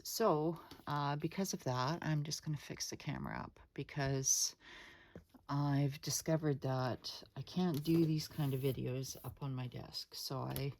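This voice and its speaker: A woman hosting her own art channel, chatting casually at her dining table. She rambles with many fillers and asides to viewers, her voice lower than usual today. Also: dark tone, slight background noise. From Canada. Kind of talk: casual unscripted chat. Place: home dining room table.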